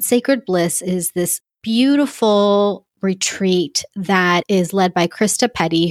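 A woman's voice: medium pitch, no room sound, clean studio sound. A woman hosting a podcast, telling a personal story in an earnest, reflective way. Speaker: podcast host.

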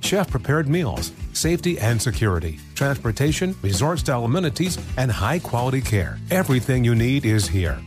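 A male advertisement announcer with a mid-pitched, very varied intonation. He reads scripted copy in a polished, measured way over clear background music. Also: big pitch swings. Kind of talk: scripted commercial narration.

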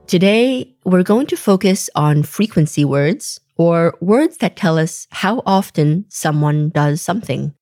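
A woman hosting an English-learning podcast, introducing the episode in a clear, slow teacherly way. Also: on the low side, lively intonation, warm tone, dry recording. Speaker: podcast host. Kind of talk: scripted lesson narration. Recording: dry studio voice with faint music.

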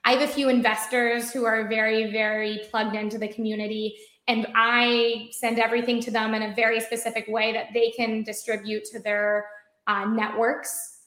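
A woman's voice: a little high, flat delivery, normal speed, fairly bright tone. An American woman talking as a guest in an interview, chatty, full of fillers and restarts. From United States. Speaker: marketing guest. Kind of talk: conversational interview. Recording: roomy echoey sound.

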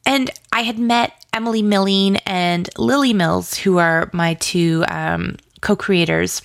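A woman's voice: medium pitch, fairly even intonation, measured pace, creaky, dry recording, clean studio sound. A woman speaking casually as an interview guest, in informal, hesitant phrasing peppered with "like". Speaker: guest, audio drama co-creator and writer.

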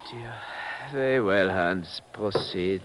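An actor playing a sadistic, mock-polite gentleman villain in a 1950s radio drama, speaking in a suave, unhurried, courteous way. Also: low-pitched, soft background music. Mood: smug, mock-polite. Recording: noisy vintage radio recording.

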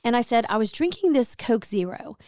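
Chatty woman with a slightly gravelly voice. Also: on the high side, sing-song, brisk, dark tone, no echo, studio quality. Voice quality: gravelly, hoarse. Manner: chatty storyteller, acts out quoted dialogue. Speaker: podcast host. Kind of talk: casual chat.

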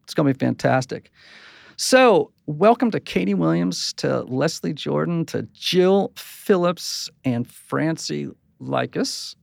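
A man's voice: a little high, expressive, slow, creaky, no echo. A man from Connecticut hosting a panel chat in a studio, jokey and enthusiastic, teasing his guests. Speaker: podcast host. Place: studio with mics.

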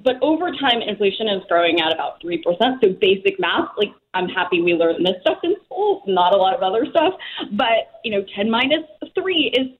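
A woman speaking as a personal finance expert guest on a talk show, quick and chatty, full of "like" and "you know" fillers. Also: mid-range voice, big pitch swings, phone-quality sound. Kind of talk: casual talk show chat.

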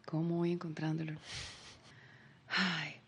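A woman from Bogotá with a Colombian accent, speaking as an interview guest in a reflective, searching way, often pausing on filler words. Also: sits low, level tone, a bit bright, breathy edge, dull audio. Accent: Bogotá Colombian Spanish.

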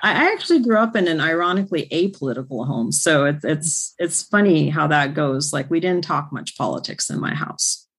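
A woman's voice: deep voice, animated, brisk, slightly rough. A woman in her fifties, born in Iran, speaking as an interview guest in an easygoing, reflective way, with lots of fillers and restarts. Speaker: interview guest, speech-language pathologist. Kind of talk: casual conversational interview.

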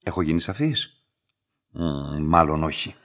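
An actor playing a composed, courteous and enigmatic laboratory director in a radio play, speaking in a measured, formal way. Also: male voice, rumbling low, expressive, fairly bright tone, exaggerated voice. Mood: calm, explaining. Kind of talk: scripted radio drama dialogue.

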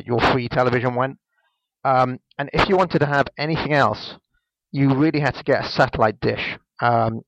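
A man's voice: medium pitch, vocal fry, no echo. A Welsh man in his late twenties co-hosting a casual podcast chat, hesitant and full of ums and restarts. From Wales. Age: 20s. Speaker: podcast co-host. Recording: dull lo-fi dry sound.

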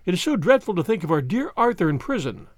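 Guy doing a worried young victorian lady, pleading and polite, high swoopy voice, audiobook. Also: very animated, quick pace, no echo, studio quality. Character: anxious, pleading young gentlewoman, loyal to her cousin.

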